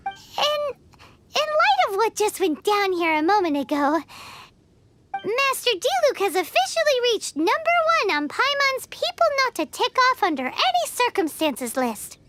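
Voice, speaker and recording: A woman voicing a tiny, bubbly female fairy companion in a video game, cheeky and chirpy in a high childlike voice. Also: sing-song, measured pace, fairly bright tone, a little hiss or hum, exaggerated voice. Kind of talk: video game cutscene dialogue.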